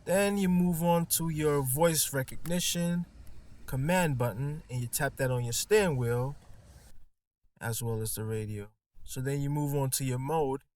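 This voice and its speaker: A man's voice: medium pitch, measured pace, a little mellow. A man giving a casual, hands-on installation tutorial in an informal, slangy, playful way. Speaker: car audio installer demonstrating a product. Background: music from a car stereo.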